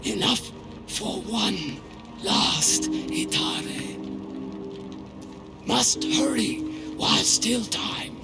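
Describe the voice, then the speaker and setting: An actor playing a solemn, foreboding alien mystic in a video game, with halting broken speech and a gravelly, breathy voice. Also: male voice, high-pitched, very animated, heavily acted. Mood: grave, urgent. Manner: halting broken speech, dropped words, long pauses. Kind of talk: dramatic game cutscene acting. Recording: roomy sound under loud cinematic music.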